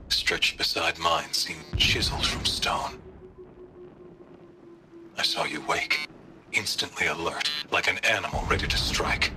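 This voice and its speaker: An actor voicing a grieving, stern warrior widower in a game, speaking slowly and solemnly. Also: male voice, fairly low pitch, noisy background, exaggerated voice. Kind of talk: game voice acting, recorded monologue.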